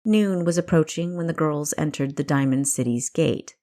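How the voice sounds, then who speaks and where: A woman reads a novel aloud as its narrator. Her voice sits a little low for a woman, and she delivers scripted storytelling prose. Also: normal speed, dark tone. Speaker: author narrating own audiobook.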